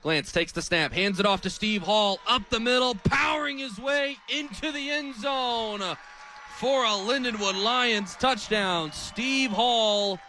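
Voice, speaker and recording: A man's voice: extremely high pitch, unhurried, pushed and bright, a faint crowd, slight background noise. A man in his twenties with an American accent calling live football play-by-play as a radio announcer. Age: 20s.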